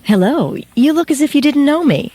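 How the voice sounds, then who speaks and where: Woman as coy small-town teenage girl, high voice, lively swings, teasing and romantic, radio play. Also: moderate pace. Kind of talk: comedic script read of a classic film.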